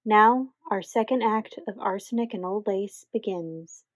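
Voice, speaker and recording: A woman's voice: medium pitch, a little slow, no room sound. A young woman reading scripted narration to introduce a radio play. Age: young adult.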